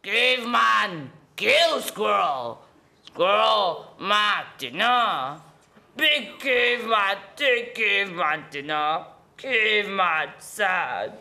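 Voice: medium pitch, expressive, extremely slow, creaky, bright, forceful tone, full character voice. A teenager acting a hungry, simple-minded caveman who grunts in broken English in a stage play. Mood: sad. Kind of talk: school readers theater performance. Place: school stage in an auditorium.

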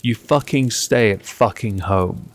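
A man reciting poetry in measured, deliberate short phrases, as the reader on his own channel. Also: on the low side, a little hiss or hum.

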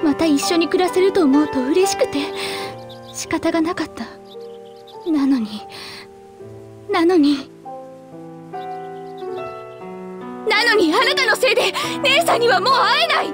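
Bitter girl with very high voice. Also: expressive, normal speed, music in the background. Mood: grieving, hurt, then bitter, furious. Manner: accusatory, cold, formally polite speech. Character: bitter, accusing young girl grieving her dead sister. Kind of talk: Japanese game voice acting.

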